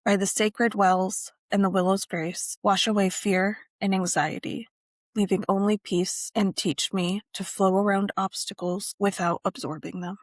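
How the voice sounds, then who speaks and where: A woman narrating a scripted guided meditation and ritual in measured, deliberate phrases with frequent pauses. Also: mid-range voice, little pitch movement, no echo, clean studio sound.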